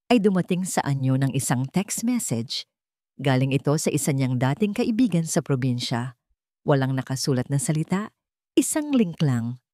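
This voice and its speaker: A woman's voice: deep voice, a little mellow, no echo, studio quality. A Filipino woman narrating a dramatic story as a scripted storyteller.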